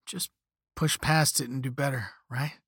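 A man's voice: medium pitch, fairly even intonation, measured pace, vocal fry, a bit bright, slightly rough, no room sound, studio quality, exaggerated voice. A man voicing a flippant, easygoing young rich slacker in a story, with a casual slangy drawl and sarcastic half-apologies. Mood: smug, placating. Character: flippant, laid-back young rich slacker on a phone call.

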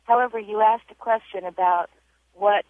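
An older woman leaves a firm, emphatic message over a tinny phone line. She stresses her points clearly. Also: mid-range voice, steady tone, moderate pace, no echo. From North Carolina. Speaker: caller. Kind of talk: voicemail message.

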